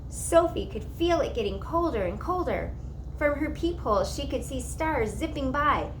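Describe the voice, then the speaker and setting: A woman reading a children's story aloud in an expressive narrating style. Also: high voice, moderate pace, a little hiss or hum, poor audio.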